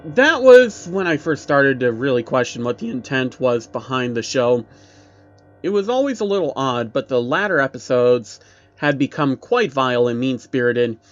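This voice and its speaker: A man with a mid-pitched voice narrates a creepy story in the first person. His intonation moves around in a lively way. The recording is a little dull, with music behind him. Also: moderate pace. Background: background music.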